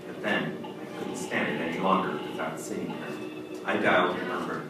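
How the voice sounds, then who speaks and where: Low-voiced radio judge, echoey and distant, acted by a man. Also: fairly even intonation, moderate pace, lightly acted. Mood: wistful, confessional. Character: infatuated middle-aged married judge, wistful and evasive. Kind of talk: old-time radio drama acting and first-person narration. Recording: echoey, far-off stage recording with music.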